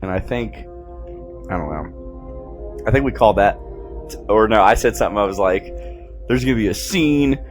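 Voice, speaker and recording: A man giving casual, slangy, excitable fan commentary as he watches a movie. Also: on the low side, moderate pace, pauses often, soft background music. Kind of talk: casual film reaction commentary.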